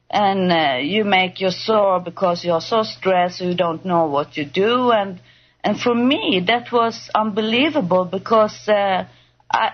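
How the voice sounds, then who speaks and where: A woman from Sweden with a Swedish accent, speaking English as a second language, telling her story as a radio guest, pausing often to search for words. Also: mid-range voice, dull audio. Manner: halting, searching for English words, many fillers. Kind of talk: personal testimony on a call-in show.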